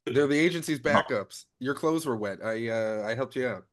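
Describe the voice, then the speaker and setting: A man's voice: mid-range voice, normal speed, a bit bright, natural voice. A man playing an apologetic, awkwardly caring secret agent in a comedic script table read.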